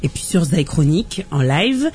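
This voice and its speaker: A woman hosting a live radio show as lively chat, teasing and playful, rambling as she goes. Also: sits low, moderate pace.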